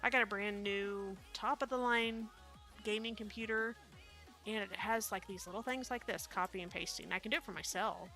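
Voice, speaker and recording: A woman's voice: mid-range voice, steady tone, moderate pace, pushed and bright, faint music behind. A woman with a Midwestern accent, chatting casually and rambling with many fillers while streaming a game. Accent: Midwestern American. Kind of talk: casual live-stream commentary.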